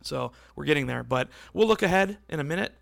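A man hosting a casual solo podcast, rambling and correcting himself as he talks, with a voice that is going hoarse. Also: a little high, animated, brisk, dry recording, studio quality. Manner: rambling, self-correcting, conversational. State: losing his voice, hoarse. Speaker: podcast host.

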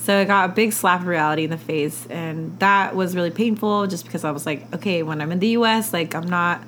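A woman's voice: lowish voice, a little fast, a bit bright, slight background noise. A young adult woman from California, a podcast guest telling stories in a chatty way, full of "like" and frequent laughter. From California, USA. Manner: chatty storyteller, many "like" fillers, frequent laughter. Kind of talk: casual conversational interview.

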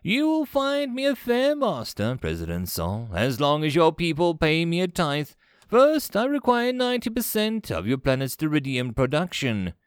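A man's voice: fairly high pitch, wildly swooping pitch, moderate pace, clean tone, no room sound, clean studio sound, pitched above the performer's own voice. A man voicing an imperious, easily exasperated alien fleet commander in a sci-fi story, with a pompous, snarling delivery. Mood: grandly domineering. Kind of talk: sci-fi audio story character.

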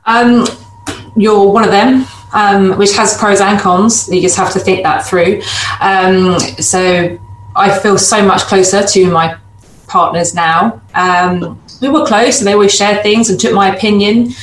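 A woman who is a practice manager and partner, answering interview questions in a measured way with many fillers. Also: mid-range voice, fairly even intonation. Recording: echoey room with faint noise.

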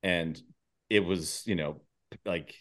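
A man's voice: low voice, fairly even intonation, takes it slowly, a bit bright. A man hosting an interview podcast, moving between scripted introductions and casual, self-deprecating chat. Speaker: podcast host interviewing guests.

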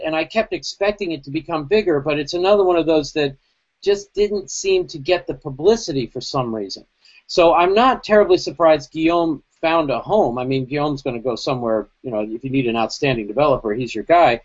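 A man co-hosting a casual tech podcast, talking in long rambling thoughts full of asides over a video call. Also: on the high side. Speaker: podcast co-host. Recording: dull lo-fi video call audio.

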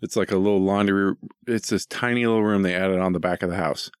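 A man's voice: deep voice, fairly even intonation, quick pace, clear, resonant tone, no room sound, clean studio sound. A middle-aged American man chatting casually as a podcast co-host, rambling and self-deprecating, with some easy swearing. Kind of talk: casual chat.

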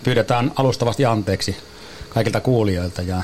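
Podcast co-host, man from Finland, lowish voice, careful and apologetic, faint noise. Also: racing, darkish tone. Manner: apologetic, careful, switching between Finnish and English. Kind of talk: casual chat.

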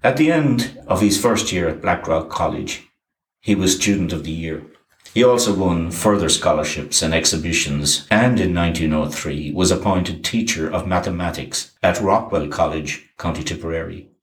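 Deep-voiced man narrating history in an echoey room. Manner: even, measured reading of dates and names. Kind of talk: scripted documentary narration.

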